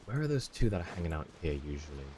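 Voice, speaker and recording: A man's voice: sits low, sing-song, fast, noisy background. A man live-streaming a video game, chatting casually with viewers as he plays. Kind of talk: casual chat while playing a game for viewers.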